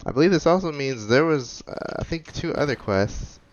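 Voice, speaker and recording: A man's voice: on the high side, animated, normal speed. A man giving casual, unscripted commentary while playing a video game. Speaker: gamer, video creator. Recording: slightly dull, lo-fi microphone sound.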